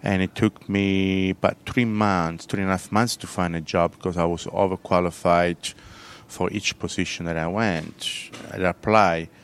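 A man's voice: sits low, steady tone, clear, resonant tone. A man from southern Italy with an Italian accent, a chef telling his story in a casual interview, with many fillers and restarts. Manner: halting, many fillers and restarts, loose grammar.

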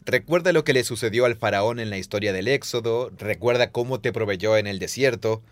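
A man's voice: on the low side, fairly quick. A man co-hosting a podcast, explaining scripture in conversation and quoting passages aloud. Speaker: podcast co-host, Bible teacher. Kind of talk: conversational teaching.

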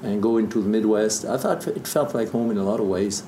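Male, 50s, French accent, native French speaker, lower register, casual storyteller. Echoey room, some background noise. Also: a little fast, warm tone. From southern Burgundy, France. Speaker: interview guest, distiller.

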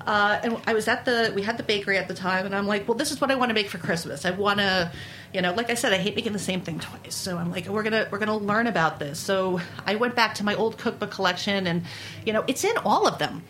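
A woman from Brooklyn, New York, talking candidly and conversationally as an interviewed baker, with animated, sweary storytelling. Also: medium pitch, fast talker, a little hiss or hum. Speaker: interviewed baker and food writer.

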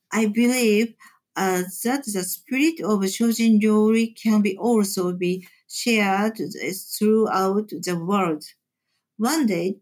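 A woman with a Japanese accent, a temple chef answering interview questions in slow, careful English. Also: medium pitch, darkish tone. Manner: slow, deliberate, measured phrasing. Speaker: temple chef and cooking teacher.

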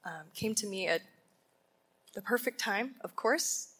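A woman teaching a sermon at a women's conference in a ballroom, speaking deliberately and emphatically and asking her listeners rhetorical questions. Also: mid-range voice, fairly bright tone, slightly rough.

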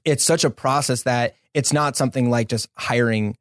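A man's voice: mid-range voice, normal speed, no echo, studio quality. A man with an American accent, a startup founder explaining things earnestly in a casual interview.

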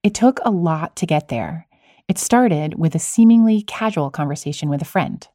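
Mellow, close-miked woman podcast host. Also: sits low, expressive, normal speed, dry recording. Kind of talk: podcast narration and conversational interviewing.

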